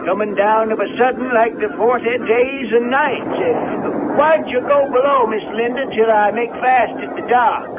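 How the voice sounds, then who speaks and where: An actor playing a folksy, evasive old island caretaker with a halting drawl, in vintage radio drama style. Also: male voice, very high-pitched. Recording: muffled, noisy 1970s radio recording.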